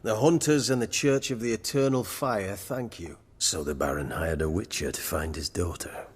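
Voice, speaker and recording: A zealous, condescending witch hunter in a video game. The voice is low and raspy, with formal, pompous delivery. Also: moderate pace, in a large hall. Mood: cold, condescending. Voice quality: husky, rough.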